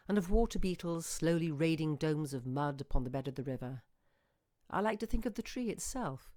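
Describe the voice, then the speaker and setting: A woman reading a literary short story as a storyteller, with measured, expressive phrasing and deliberate pauses. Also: sits low, dry recording, studio quality. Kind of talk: dramatic literary narration.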